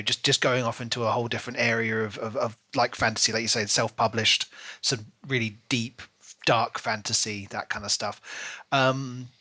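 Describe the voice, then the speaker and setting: A man's voice: mid-range voice, bright, forceful tone, dry recording. A man co-hosting a book podcast, talking in a casual, conversational style. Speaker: podcast co-host.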